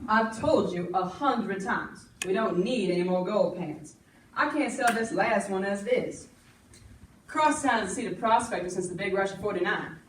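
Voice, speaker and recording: A woman's voice: medium pitch, moderate pace, lightly acted. A young woman playing a practical, folksy general store shopkeeper in an amateur stage play. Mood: brisk, firm. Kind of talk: projected amateur stage acting. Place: school stage, distant camera. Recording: echoey room from far off, noisy.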